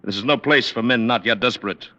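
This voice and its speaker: An actor playing the cold, shrewd president of a secret club, in an old-time radio drama. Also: male voice, medium pitch, fast talker, pushed and bright, close to an everyday voice. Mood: probing. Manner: cold, testing, abrupt. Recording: dull old radio recording.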